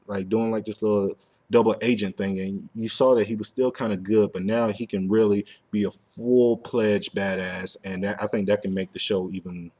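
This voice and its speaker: A man's voice: on the low side, darkish tone. A man hosting a casual fan talk show, speaking informally and with plenty of slang. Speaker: podcast host. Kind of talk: casual fan discussion of an animated series.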